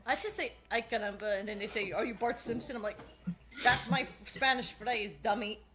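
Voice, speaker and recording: A woman's voice: on the high side, moderate pace. A woman chatting casually with friends over a voice call, rambling, laughing often and swearing freely. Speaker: channel host.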